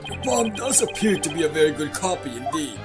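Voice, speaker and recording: Lady putting on a fussy old man judge, pompous and old-timey, pitch all over the place, music in back. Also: very animated, a little fast. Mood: pompous, inquisitive. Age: older. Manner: pompous, old-fashioned, exclamatory. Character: elderly, blustery, easily flustered male courtroom judge. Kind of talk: fan-dub game character acting. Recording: music under the voice.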